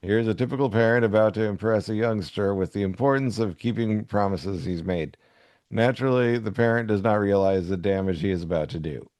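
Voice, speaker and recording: A man's voice: fairly low pitch, fairly quick, darkish tone, dry recording. A man co-hosting a comedy podcast, casually commenting and reading aloud. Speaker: podcast co-host.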